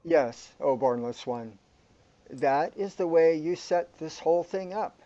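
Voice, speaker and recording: Guy playing a calm know-it-all guide in a trippy sci-fi radio play, soft dark tone, highish for a man, lo-fi. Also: measured pace. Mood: calmly explaining. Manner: explanatory, formal, matter-of-fact. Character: calm, all-knowing guide to a bewildered soul. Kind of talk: surreal sci-fi radio drama acting.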